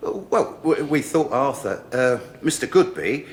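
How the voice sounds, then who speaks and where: A man's voice: mid-range voice, very animated, unhurried, slight background noise. An actor playing a chummy, jokey male friend who laughs a lot and later stammers nervously as a witness in a radio drama. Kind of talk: 1980s radio drama acting.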